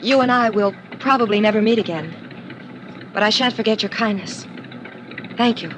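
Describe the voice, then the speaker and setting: An actress playing a troubled, soft-spoken woman with a secret in a 1950s radio drama, polite and hesitant. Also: mid-range voice, normal speed, background noise, close to an everyday voice. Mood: wistful.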